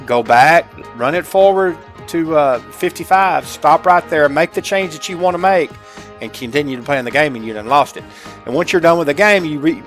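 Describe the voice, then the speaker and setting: A middle-aged man hosts a casual game walkthrough in a somewhat higher-set voice. He explains things step by step in an informal, folksy way, speaking into a headset. Faint music plays behind him. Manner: folksy, informal, addressing viewers as guys. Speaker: gameplay tutorial host.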